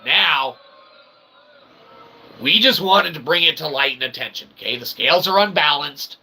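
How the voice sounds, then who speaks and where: A man's voice: a little high, lively intonation, moderate pace, pushed and bright, breathy edge, poor audio. A man hosting a vlog in a casual, rambling monologue, slangy and sarcastic with joking asides. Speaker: vlog host and self-published author. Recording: faint background noise.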